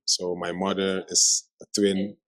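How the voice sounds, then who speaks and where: A man's voice: sits low, flat delivery, a little slow, fairly bright tone, dry recording, low-quality recording. A man in his thirties from Suriname with a Surinamese Dutch accent, chatting playfully and often restarting his sentences. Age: 30s. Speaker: vlogger co-host. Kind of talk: casual chat.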